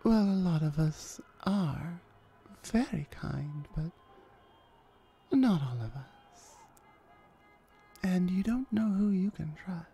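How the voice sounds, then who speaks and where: A woman voicing a protective, flirtatious female hellhound in a roleplay ASMR audio drama, soft, reassuring and teasing. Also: expressive, soft background music, heavily acted. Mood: cautioning, reassuring. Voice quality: low, soft, mellow. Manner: unhurried, reassuring, teasing, sometimes breathy.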